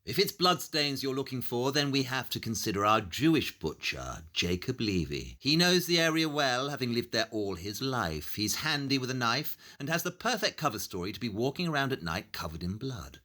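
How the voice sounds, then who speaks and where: Bright, animated Victorian detective. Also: male voice, medium pitch. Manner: deliberate, theatrical, laying out cases point by point. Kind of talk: audio play acting.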